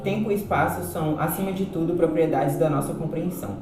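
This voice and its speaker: A man's voice: on the high side, fast, natural voice. Man playing a didactic Brazilian philosophy teacher, very echoey. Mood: patient, explanatory. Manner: didactic, explanatory. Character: male philosophy teacher, patient and explanatory. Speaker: actor in a student radio drama. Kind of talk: amateur radio drama dialogue, didactic. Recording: very echoey, distant, loud music behind.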